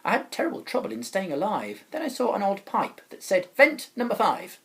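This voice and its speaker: Female storybook reader: highly animated intonation, mid-range pitch, dramatic and emphatic rhymed narration for children. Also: fairly quick.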